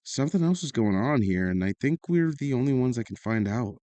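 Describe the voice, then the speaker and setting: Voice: medium pitch, lively intonation, brisk, mellow, no room sound, lightly acted. An actor playing a cautious, doubting but determined teenager in an audio drama, speaking hesitantly and seriously. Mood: grave, suspicious.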